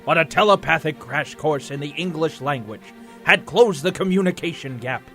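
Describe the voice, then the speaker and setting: A man's voice: a little high, animated, moderate pace, a bit bright. Theatrical man as comic-book narrator over music. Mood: expository. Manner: theatrical, emphatic. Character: dramatic comic-book narrator, grand and florid. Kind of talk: scripted dramatic narration. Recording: music bed under the voice.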